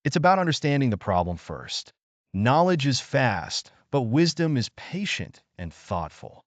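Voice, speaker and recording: A man voicing a kindly, patient, wise old village man in a bedtime story, soft-spoken and thoughtful. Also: mid-range voice, sing-song, measured pace, no echo, pitched above the performer's own voice.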